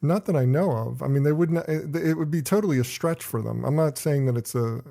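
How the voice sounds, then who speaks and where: A man's voice: on the high side, a little fast, creaky, warm tone. A man hosting a podcast panel, asking his guests probing questions in casual discussion. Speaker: podcast host.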